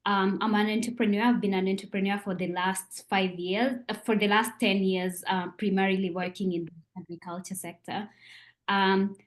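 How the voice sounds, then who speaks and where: A woman in her thirties from Kenya, moderating an online panel over a video call in a measured way. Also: medium pitch, flat delivery.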